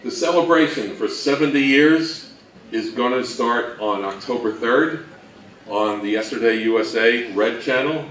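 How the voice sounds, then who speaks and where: A man's voice: mid-range voice, measured pace, a bit bright, a little hiss or hum. A man giving an informal live presentation to an audience, with a wry, joking way of addressing them. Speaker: presenter at an old-time radio convention talk. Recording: roomy, distant-miked live talk.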